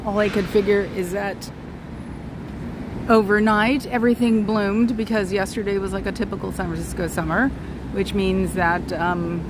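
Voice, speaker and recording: A woman's voice: mid-range voice, flat delivery, normal speed. A woman live-streaming outdoors on a city street, talking in a rambling, chatty way and calling out to passers-by while she is losing her voice. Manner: chatty, digressive, calls out to passers-by. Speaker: livestreamer. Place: outdoors on a street in San Francisco. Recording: outdoor street recording with traffic noise.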